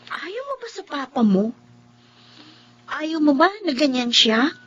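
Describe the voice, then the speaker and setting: A woman's voice: sits high, sing-song, slow, a bit bright, a little hiss or hum, exaggerated voice. A woman acting a frank young friend with some bitterness in a Filipino radio drama. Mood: concerned, frank. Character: frank young schoolmate, resentful of absent father. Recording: dull, muffled audio.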